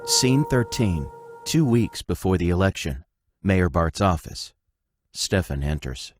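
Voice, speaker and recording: A man's voice: low-pitched, very animated, a little slow, faint music behind. A man reading scripted narration and scene announcements for an audio drama.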